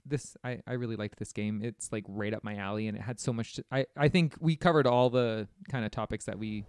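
A man co-hosting a podcast, chatting casually about video games in a halting way, rephrasing as he thinks. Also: on the low side, smooth, no echo, clean studio sound. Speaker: podcast co-host.

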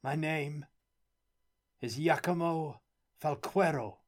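A man voicing a miserable, guilt-ridden prisoner with a hoarse, tearful, pleading voice. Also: medium pitch, a little slow, darkish tone, voice pushed up, lightly acted. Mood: weary.

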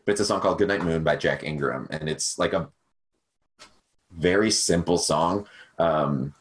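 Very deep-voiced young man, rambling. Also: normal speed. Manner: rambling, self-deprecating, many fillers. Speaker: podcast guest, cover singer. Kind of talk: casual chat over video call. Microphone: video call.

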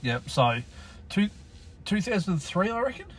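A husky-voiced Australian man chats as a guest, blunt and deadpan. He tells old stories with a sardonic edge and little fuss. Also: high voice, a little slow. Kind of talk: casual conversation.

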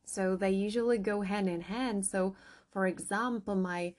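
A woman whose first language is not English, a hypnotherapist and coach, calmly guiding listeners through a journaling exercise. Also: mid-range voice, unhurried. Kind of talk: guided journaling podcast.

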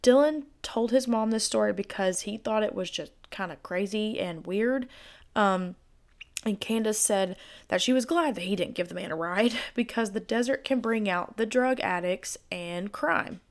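A woman recounts a missing-person case in a loose, conversational way, stopping to wonder aloud and speculate. Her voice is hoarse and a little gravelly, as if it might give out. She sits at an ordinary, middle pitch. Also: moderate pace. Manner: chatty, speculative asides. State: hoarse, voice giving out. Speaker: true crime podcast host. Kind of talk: casual storytelling narration.

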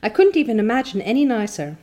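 A woman's voice: a little high, big pitch swings, fast talker, warm tone, pitched above the performer's own voice. A woman voicing an imaginative, rapturous orphan girl who gushes with breathless enthusiasm, reading a storybook aloud.

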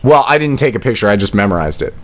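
A man's voice: on the low side, lively intonation, fast talker. A young man talking casually as a guest on a podcast. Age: young adult.

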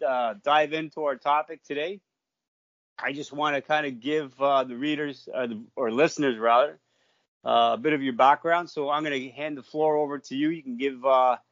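A man's voice: mid-range voice, fairly even intonation, moderate pace, fairly bright tone, muffled recording. A man hosting a podcast, delivering scripted promotion and casual interview talk. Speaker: podcast host.

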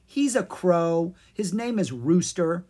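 Woman voicing an eager, higher-pitched young boy. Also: animated, slow, warm tone. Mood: earnest. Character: eager, excitable young boy adventurer who loves animals.

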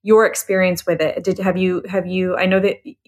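A woman's voice: lowish voice, flat delivery, brisk. A woman interviewer talking in a casual, conversational way, with informal, rambling phrasing.

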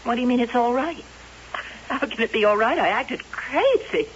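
A woman's voice: on the high side, lively intonation, natural voice. An actress in an old-time radio drama playing a jealous, overwrought carnival woman, agitated and melodramatic. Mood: agitated, indignant. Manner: agitated, rapid-fire, melodramatic. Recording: hissy, muffled old radio recording.

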